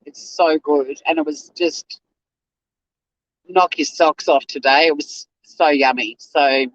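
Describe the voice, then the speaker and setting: An Australian man hosting a casual livestream, chatty and animated, swearing freely, with a slightly whiny voice. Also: a little high, no room sound, lo-fi sound. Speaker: livestream host. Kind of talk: casual livestream chat to viewers.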